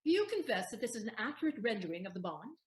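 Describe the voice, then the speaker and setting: A woman's voice: fairly high pitch, very animated, quick pace. A woman acting a composed, eloquent heroine posing as a lawyer in a Shakespeare audio play, formal and declamatory, in a roomy recording. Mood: formal, questioning.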